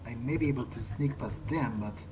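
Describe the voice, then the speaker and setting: A man's voice: medium pitch, moderate pace. A man giving casual, in-character gameplay commentary, musing aloud to himself in a wry way. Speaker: let's play host. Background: game music.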